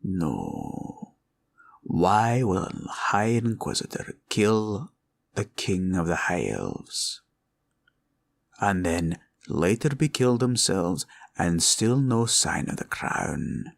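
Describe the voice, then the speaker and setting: A man playing a scheming, condescending male green dragon villain in a roleplay ASMR audio, with a gravelly, husky voice and a slow, probing drawl. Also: low-pitched, very animated, full character voice. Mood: stern, condescending.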